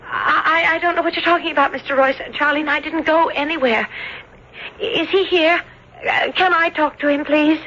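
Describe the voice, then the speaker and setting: A woman's voice: high-pitched, steady tone, normal speed, bright, forceful tone, dull audio. A young woman acting in an old-time radio drama as an earnest, lovesick bride-to-be, hesitant, polite and pleading. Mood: anxious, tearful. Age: young adult. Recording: thin, hissy old radio recording.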